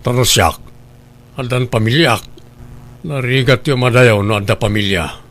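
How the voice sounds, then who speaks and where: A man's voice: medium pitch, unhurried, a bit bright, close to an everyday voice. A man playing a resentful, calculating married farmer who grumbles, in a radio drama. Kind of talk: Ilocano radio drama acting.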